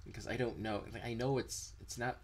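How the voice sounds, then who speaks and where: A man's voice: medium pitch, fairly even intonation, a little fast, slight background noise. A young American man chatting casually in a quiz, stammering and putting himself down when he gets stuck. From United States. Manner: hesitant, stammering, self-deprecating. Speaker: quiz co-host.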